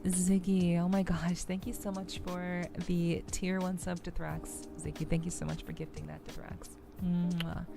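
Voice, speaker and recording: A woman streamer talks to her chat in a low, softly creaky voice. She feels her way gently through casual game commentary in an ASMR style, with music playing behind her. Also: level tone, a little slow, few pauses. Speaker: streamer, VTuber host.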